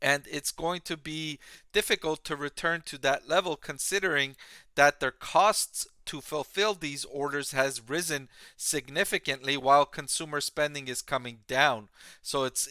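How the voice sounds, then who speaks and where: A man presenting explanatory financial commentary as an analyst, speaking in measured, emphatic, deliberate phrases. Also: fairly high pitch, sharp tone, clean tone, no room sound, studio quality. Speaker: financial analyst and video host. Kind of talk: explanatory commentary on an earnings call.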